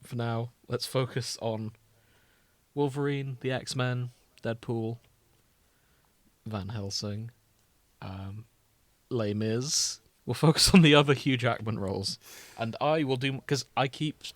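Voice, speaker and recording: A man's voice: medium pitch, takes it slowly, no room sound. A man hosting a casual fan podcast, chatting informally and steering the discussion with questions. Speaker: podcast host.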